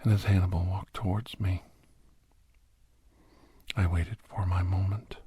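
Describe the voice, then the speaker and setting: A man playing a captive doctor who grimly recounts his escape, half-whispered in a breathy ASMR horror narration with halting, deliberate phrases. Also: low voice, fairly even intonation, dark tone, no echo, heavily acted. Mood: grim, tense. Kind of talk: first-person scripted horror narration, ASMR.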